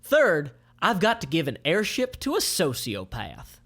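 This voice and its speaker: A man voicing a smug, scheming male villain turned president, excitable and boastful, in a comic read aloud. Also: a little high, a little slow, pitched above the performer's own voice, full character voice. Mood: pompous.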